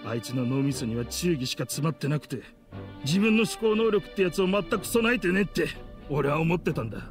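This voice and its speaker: A bitter, vengeful middle-aged man from an anime game, played by a male actor, spits rough, contemptuous accusations. His fairly high voice swings widely in pitch, with music behind it. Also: lively intonation, brisk, natural voice. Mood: bitter, brooding. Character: bitter, vengeful man in a grudge-driven confrontation.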